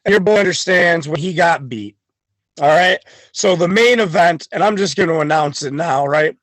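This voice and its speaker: A man's voice: a little high, normal speed, a bit bright, no room sound, low-quality recording. A man talking as a wrestling guest on a podcast, boastful and hyped, full of swagger. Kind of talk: podcast chat.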